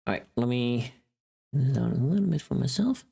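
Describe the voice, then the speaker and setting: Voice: mid-range voice, lively intonation, normal speed, vocal fry, mellow, slightly rough. A livestreamer chatting casually while working, rambling and muttering to themself. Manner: rambling, muttering to self, humming. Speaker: livestreamer, voice actor.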